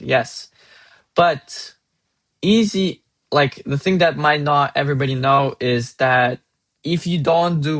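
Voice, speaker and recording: A young man from Russia with a Russian accent, giving earnest, halting advice as a student and restarting phrases mid-sentence. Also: medium pitch, a bit bright. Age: young adult. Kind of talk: casual advice monologue.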